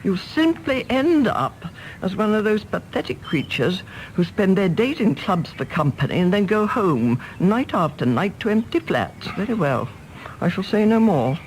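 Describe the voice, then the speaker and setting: A woman's voice: mid-range voice, a little hiss or hum, natural voice, low-quality recording. An actress playing an anxious, domineering older English mother in a radio drama, querulous and imperious. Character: anxious, domineering, self-pitying mother of a grown son. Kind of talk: British radio drama acting.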